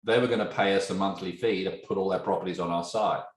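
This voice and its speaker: A man in his fifties with an English accent softened by years in Australia, a tech entrepreneur telling his story as a podcast guest and quizzing his host. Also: low voice, quick pace. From England. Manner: animated storyteller, poses questions to his listener. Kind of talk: conversational podcast interview. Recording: roomy echo.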